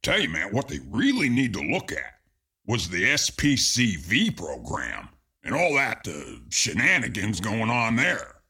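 A man's voice: lowish voice, huge pitch swings, measured pace, pushed and bright, breathy edge. A man co-hosting a podcast, chatting casually in an opinionated, emphatic way. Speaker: podcast co-host. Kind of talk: casual chat.

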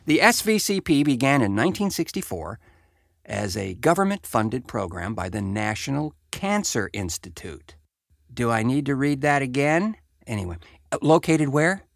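A man's voice: medium pitch, very animated, moderate pace, creaky, dry recording, studio quality. An American man hosting a show, reading a report aloud with wry, sardonic conversational asides. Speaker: podcast host.

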